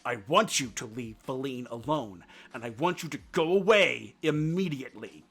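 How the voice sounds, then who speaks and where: A man's voice: mid-range voice, moderate pace, a bit bright, slightly rough. A man reading aloud and voicing a young male deer who is earnest, lovestruck and insistent. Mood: stern, restrained. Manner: pleading, breathless, emphatic.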